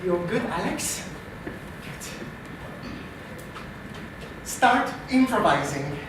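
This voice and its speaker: A man with a French accent addresses a live audience at an unhurried pace, dry and self-deprecating. He sounds far from the mic, in a very echoey room. There is a constant layer of noise behind him. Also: high voice, big pitch swings. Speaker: AI researcher and improv performer. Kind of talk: live comedy stage talk. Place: live comedy meetup venue. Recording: distant, very echoey live venue.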